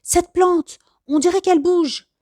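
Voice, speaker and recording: Woman as an excitable, high-pitched adventurer. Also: unhurried, dry recording, studio quality. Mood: excited. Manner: exclamatory, eager. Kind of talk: children's storybook character voice.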